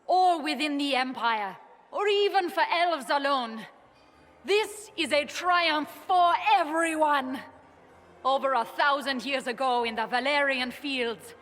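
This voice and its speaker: An idealistic elven ambassador in a fantasy game, with a high, forceful voice. She declaims proudly, her words ringing through a big reverberant hall. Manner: declamatory, earnest. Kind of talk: formal rallying speech, game acting. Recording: long echoing hall reverb.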